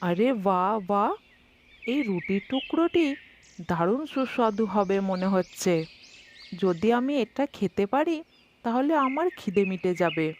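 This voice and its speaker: Woman voicing a sly, slow-talking flattering fox. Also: medium pitch, a little hiss or hum, voice pushed down. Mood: hungry scheming. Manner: unhurried, coaxing flattery. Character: sly flattering hungry fox, smooth-tongued trickster. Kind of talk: children's cartoon story voice acting. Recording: dull lo-fi sound with music behind. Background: music.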